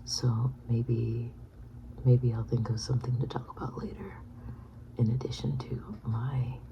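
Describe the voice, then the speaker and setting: A woman in her thirties whispering a personal vlog, halting and reflective. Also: rumbling low, flat delivery, muffled recording, background noise. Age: 30s. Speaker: vlogger.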